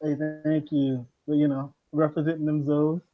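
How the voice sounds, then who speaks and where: A man from the Bahamas, a guest on a live podcast, joining by video call and chatting in a relaxed, slangy way. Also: fairly high pitch, normal speed, phone-quality sound, music in the background. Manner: laid-back, slangy, lots of "you know". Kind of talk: casual video-call chat.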